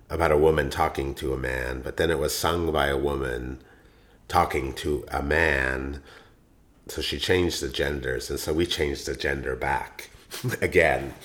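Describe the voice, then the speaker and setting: A man who is a musician, telling stories about his band in a rambling, animated way with many fillers. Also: rumbling low, slight background noise. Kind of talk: interview storytelling.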